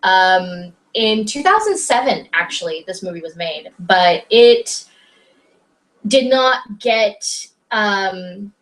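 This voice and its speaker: A woman co-hosting a casual movie review chat, chatty and quick to jump in. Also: medium pitch, lively intonation, fairly bright tone, low-quality recording. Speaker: podcast co-host.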